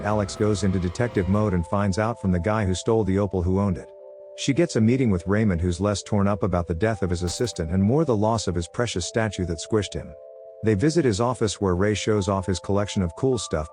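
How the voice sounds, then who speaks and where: Low-voiced sardonic man narrating over music. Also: darkish tone. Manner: sardonic, flippant asides. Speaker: movie recap narrator. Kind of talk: scripted narration of a film plot.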